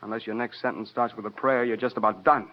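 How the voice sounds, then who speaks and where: A man's voice: fairly low pitch, steady tone, quick pace, close to an everyday voice. An actor playing a coolly cruel, taunting gunman in a vintage radio crime drama. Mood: cold menace. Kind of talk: 1940s-50s radio noir acting. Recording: dull old radio recording.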